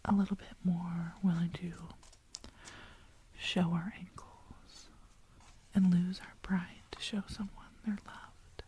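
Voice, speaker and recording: A woman's voice: on the low side, little pitch movement, slow pace, dark tone, slight background noise. A woman whispering as she retells a Bible story in a casual, slangy way.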